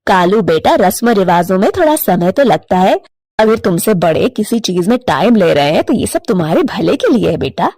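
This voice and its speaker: Woman as an outspoken neighbour bird, lowered voice. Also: sing-song, moderate pace, a little mellow, no echo, studio quality. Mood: knowing, disapproving. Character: outspoken, sensible middle-aged neighbour bird, female. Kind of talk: animated cartoon moral story.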